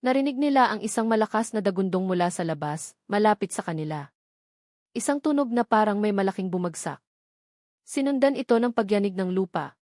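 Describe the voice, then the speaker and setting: A woman reads a romance audiobook in a dramatic storytelling style. Her voice sits at a medium pitch. The sound is close and dry, with no room around it. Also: lively intonation, brisk, a little mellow, no echo. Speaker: audiobook narrator.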